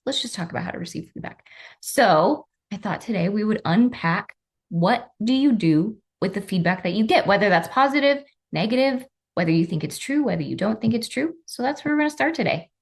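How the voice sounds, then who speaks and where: A woman's voice: mid-range voice, lively intonation, fairly quick. A woman hosting a workplace podcast, leading a casual chat with friendly, self-deprecating remarks. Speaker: podcast host.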